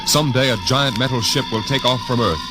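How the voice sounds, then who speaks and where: A man's voice: mid-range voice, quick pace. A male announcer giving a grand, portentous introduction to a 1950s radio drama. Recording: old radio broadcast over loud music.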